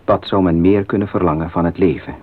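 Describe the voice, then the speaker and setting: An actor playing a zealous, self-sacrificing male missionary in a solemn radio drama monologue, earnest and deliberate. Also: sits low, steady tone, husky. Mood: earnest longing. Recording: narrow phone-like old radio sound.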